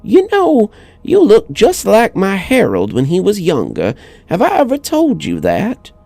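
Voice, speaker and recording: Elderly grieving widow male reader acting her: heavily gravelled, mellow, wistful and halting, audio horror story. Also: high voice, wildly swooping pitch, no echo, faint music behind, voice pushed up, exaggerated voice. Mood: wistful, fond.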